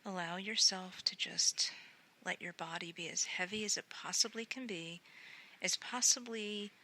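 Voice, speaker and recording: A woman guiding a sleep meditation in a gentle, soothing, unhurried way, often softening into breathy near-whispers. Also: lowish voice, steady tone, slight background noise. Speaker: meditation guide.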